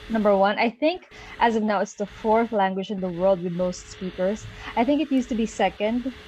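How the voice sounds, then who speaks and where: A woman's voice: medium pitch, normal speed, a little mellow, highs cut off, slight background noise. A woman, a Tagalog speaker, giving a guest presentation over a video call, explaining things with frequent okays and ums. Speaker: guest speaker and Spanish teacher. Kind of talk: online presentation.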